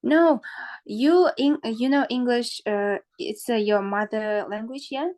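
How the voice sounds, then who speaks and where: A woman's voice: a little high, takes it slowly, darkish tone. A woman student on a video call, asking questions hesitantly and joking at her own expense. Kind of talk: casual conversation.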